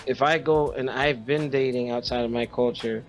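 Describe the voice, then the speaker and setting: A man's voice: mid-range voice, fairly even intonation, normal speed, faint music behind. A man co-hosting a podcast, telling personal stories casually, stopping and repeating words as he makes his point. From Haiti. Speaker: podcast co-host.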